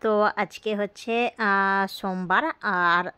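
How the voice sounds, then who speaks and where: A woman's voice: medium pitch, steady tone, slow, pushed and bright, smooth. A young woman, chatting casually in a rambling way as she records a daily vlog. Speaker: vlogger.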